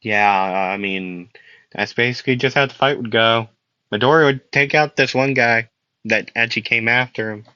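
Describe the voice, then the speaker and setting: A man's voice: mid-range voice, normal speed, sharp tone, smooth, no room sound, highs cut off. A young man casually telling a fan-made anime story, rambling with fillers and frequent laughs, his voice getting worn out. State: losing his voice.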